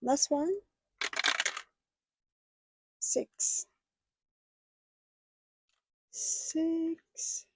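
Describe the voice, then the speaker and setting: A woman whose first language is Filipino chats casually with viewers as a budgeting vlogger, speaking in a halting, hesitant way. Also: sits high, fairly even intonation, dark tone.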